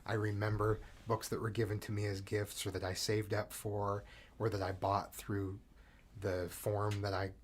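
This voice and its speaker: A man's voice: on the low side, flat delivery, normal speed, a little hiss or hum. A middle-aged man hosting a podcast interview in an upbeat, articulate, conversational way. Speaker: podcast host. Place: studio.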